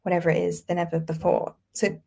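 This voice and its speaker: A woman's voice: on the low side, completely flat delivery, quick pace, gravelly, dark tone. A woman in her twenties with a British accent, speaking as a podcast guest in a reflective, casually phrased conversational way. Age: 20s. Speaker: guest writer. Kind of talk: conversational interview.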